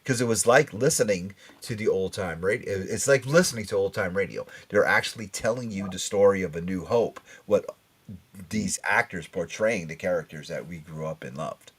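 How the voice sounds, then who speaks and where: A middle-aged American man hosting a casual podcast chat, often halting and repeating words as he steers between topics. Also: sits low, breathy edge. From Connecticut, United States. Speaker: podcast host.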